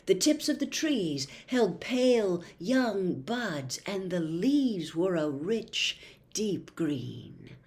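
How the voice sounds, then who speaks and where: A woman reading a classic story aloud as narrator, measured and expressive, with dramatic pauses. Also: lowish voice.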